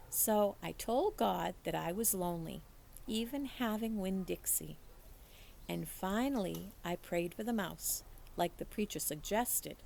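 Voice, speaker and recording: Creaky-voiced woman reading a children's story. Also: lowish voice, a little hiss or hum. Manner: animated storytelling, acts out dialogue. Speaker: teacher reading a book aloud. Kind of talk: expressive children's audiobook-style read-aloud.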